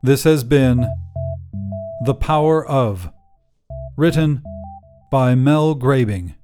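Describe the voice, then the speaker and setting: A man's voice: medium pitch, lively intonation, slow pace, music in the background. A man reading scripted credits as a podcast's narrator and producer.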